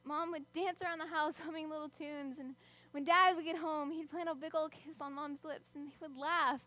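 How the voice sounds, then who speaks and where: A woman's voice: high voice, fairly even intonation, moderate pace, sharp tone. A young woman performing a dramatic monologue as a teenager stuck at home with fighting parents, speaking in a wry, bitter way that alternates between brooding and sudden outbursts. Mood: wistful.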